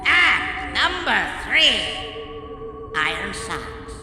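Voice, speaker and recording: A man's voice: sits high, big pitch swings, bright, forceful tone, soft background music, full character voice. An actor voicing a megalomaniac robot villain in a video game, theatrical, vengeful and grandiose, drawing out his words. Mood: scheming. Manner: grandiose, drawn-out delivery. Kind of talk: video game cutscene acting. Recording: reverberant, hall-like echo.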